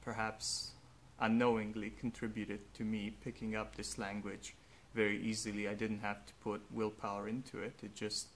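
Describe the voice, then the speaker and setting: A young man, a native Hungarian speaker, speaking slowly and earnestly in a reflective personal monologue with faint background noise. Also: on the low side, monotone, fairly bright tone, poor audio. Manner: slow, thoughtful, earnest. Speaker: vlogger.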